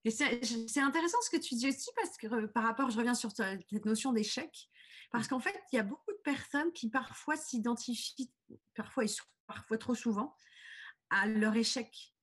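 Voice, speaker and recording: Woman interviewer, fairly high voice. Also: moderate pace, a bit bright, husky. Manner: friendly, thanks guest, adds short comments. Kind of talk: casual interview.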